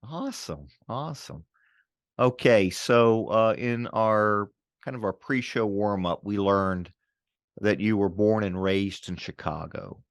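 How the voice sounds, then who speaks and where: A man's voice: on the low side, slow, dry recording, studio quality. A man co-hosting a podcast, enthusiastic and curious, often restarting his sentences. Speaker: podcast co-host. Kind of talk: casual interview chat.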